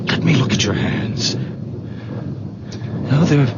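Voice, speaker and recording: Slow, halting, awestruck villager. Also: male voice, mid-range voice, sing-song. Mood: awed, uneasy. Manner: halting, intense. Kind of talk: old-time radio drama acting. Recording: muffled, very noisy old radio recording.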